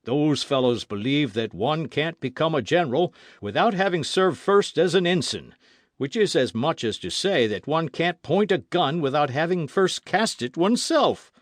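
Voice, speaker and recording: Gloomy old colonel as a man plays him, grumbling, lively pitch, dry sound. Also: normal speed, dry recording, lightly acted. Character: gloomy retired male army colonel.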